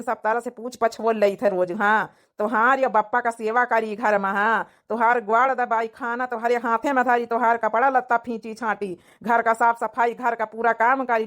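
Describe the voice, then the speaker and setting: A woman's voice: on the high side, fairly bright tone, natural voice. A woman voicing a doting, reassuring young village groom in a cartoon, coaxing and repetitive, with an Awadhi accent. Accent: Awadhi, rural north India. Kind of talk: cartoon comedy dialogue.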